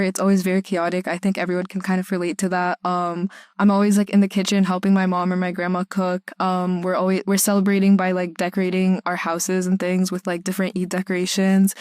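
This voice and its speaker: A young woman from Bangladesh talks casually as a student guest. Her voice is smooth and clean, with a narrow pitch range. It is recorded dry. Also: a little mellow, no echo. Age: young adult. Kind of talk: casual chat.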